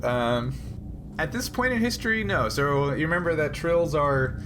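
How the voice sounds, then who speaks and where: A man explaining options as game master of a tabletop role-playing game, in a halting way. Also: on the high side, expressive, over music. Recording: noisy recording with room ambience.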